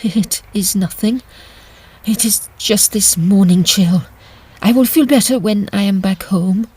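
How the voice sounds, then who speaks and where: A woman acting a secretive, imperious Italian marchesa in an audio drama, speaking in a measured, formal way. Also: mid-range voice, mellow, breathy edge. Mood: uneasy.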